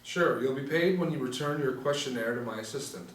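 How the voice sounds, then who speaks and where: A man reads a polite, businesslike scientist in his thirties from a drama script. He gives formal instructions at medium pitch, in an echoey room off the mic with faint noise. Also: brisk, recorded from a distance, natural voice. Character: formidable mid-thirties research doctor, polite and businesslike. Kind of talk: table-read drama.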